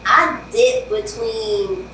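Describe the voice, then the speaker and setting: A woman hosting a casual interview chat, slangy and chatty with drawn-out asides. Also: high voice, very animated, vocal fry, pushed and bright. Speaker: host. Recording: very echoey room, distant mic, faint hiss.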